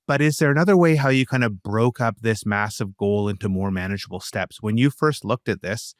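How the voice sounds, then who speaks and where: A man's voice: lowish voice, fairly quick, no room sound, studio quality. A man hosting a podcast, asking questions in a casual, conversational way. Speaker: podcast host, interviewer.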